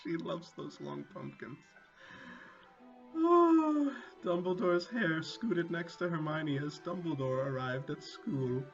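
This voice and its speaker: A man's voice: on the high side, lively intonation, takes it slowly. Dull-sounding man doing amused dramatic reading over music. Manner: amused, often breaking into laughter; mock-dramatic delivery with swearing asides. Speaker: reader. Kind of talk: comedic dramatic reading with casual asides.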